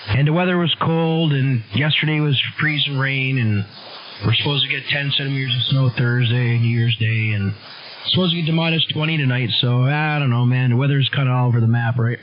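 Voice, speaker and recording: Over a dull radio signal with faint hiss, a North American man chats casually. His pitch is average, and his talk is matey and full of easygoing slang. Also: fairly even intonation, fairly quick. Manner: laid-back slang, matey. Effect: radio transmission. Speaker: radio operator. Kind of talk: casual chat.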